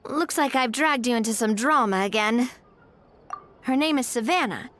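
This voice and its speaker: An actress playing a poised, principled young woman leader in an anime-style game, earnest, expressive and friendly. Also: on the high side, normal speed, background noise, close to an everyday voice. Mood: weary, concerned.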